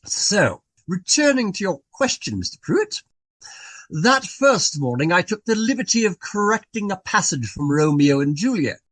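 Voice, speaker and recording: Male, pompous Regency raconteur for radio drama. Hugely animated delivery, slightly husky, close dry sound. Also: sits high, moderate pace, no room sound, full character voice, rough recording. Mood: courteous, florid. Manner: long-winded, florid, genteel formal phrasing. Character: portly, garrulous, self-important Regency gentleman. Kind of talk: radio play dialogue, storytelling.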